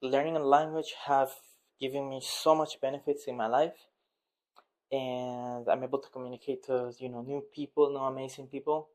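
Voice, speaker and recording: A man's voice: medium pitch, little pitch movement, normal speed, darkish tone. A man from Rica, a teacher giving a rambling, earnest motivational talk in English to his viewers. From Costa Rica. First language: Spanish. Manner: rambling, earnest, with many restarts and fillers. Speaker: Spanish teacher. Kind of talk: casual motivational talk to camera.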